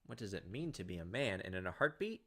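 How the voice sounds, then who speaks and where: A man's voice: low-pitched, big pitch swings, quick pace, background noise. A young man reading a visual novel aloud as a let's player, with casual, wry commentary.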